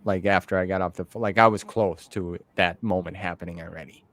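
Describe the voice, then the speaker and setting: A man's voice: low voice, fairly even intonation, a little fast, fairly bright tone, no echo. A man hosting an interview as a casual fan chat, talking informally and repeating phrases for emphasis. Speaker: podcast host interviewing a guest. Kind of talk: casual fan conversation about novels.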